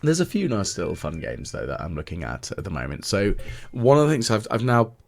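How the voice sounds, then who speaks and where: A British man with a deep voice giving relaxed, meandering gameplay commentary with gentle, wry asides. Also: a little fast, vocal fry. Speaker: let's play video host.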